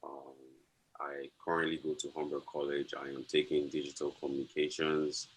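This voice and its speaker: A young man from Nigeria, a college student, introducing himself on a panel in a measured, hesitant way. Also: very deep voice, level tone, slight background noise, poor audio.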